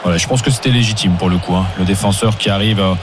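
A man who refereed many matches, analysing refereeing calls on live radio in a measured way. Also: low voice, background noise. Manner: fast, measured, explains calls carefully. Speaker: former referee consultant. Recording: radio broadcast with music behind.

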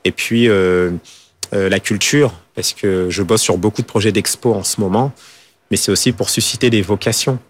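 Créateur de mode, voix assez grave, réponses d'interview hésitantes. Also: male voice, on the low side, steady tone, moderate pace, breathy edge. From France. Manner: hesitant, many fillers and restarts. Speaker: fashion designer and school founder. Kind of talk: interview answers.

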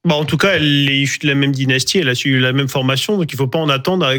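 A man's voice: mid-range voice, racing, bright, forceful tone. A man analysing the news as a guest on a TV panel, explaining his point step by step. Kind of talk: TV panel debate.

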